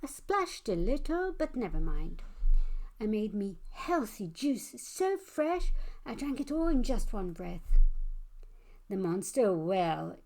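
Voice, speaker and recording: A woman narrates a children's picture book in rhyme. She takes her time with each line, speaking slowly and expressively as a storyteller would. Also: mid-range voice, very animated, darkish tone. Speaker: storybook reader. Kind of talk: scripted children's read-aloud, rhyming narration.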